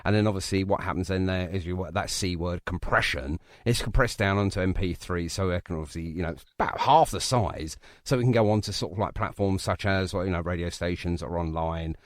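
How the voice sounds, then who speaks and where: A man's voice: low voice, brisk, hardly any pauses, no echo, studio quality. A man hosting a radio interview in a casual, jokey, rambling way. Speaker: radio show host interviewing a musician.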